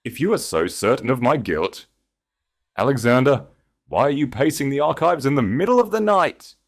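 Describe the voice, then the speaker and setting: A man's voice: medium pitch, expressive, moderate pace, dry recording, clean studio sound, voice pushed up. A man voicing a proud, defiant grand duchess in a storybook, challenging and unbowed.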